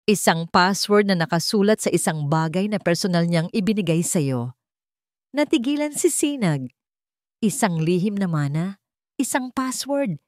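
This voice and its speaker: A woman's voice: on the low side, expressive, unhurried, no room sound, clean studio sound. A woman narrating a dramatic scripted story.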